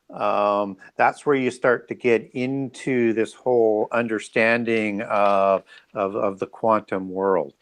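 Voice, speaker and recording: A man speaking as an interview guest, explaining ideas in a halting, pieced-together way. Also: medium pitch.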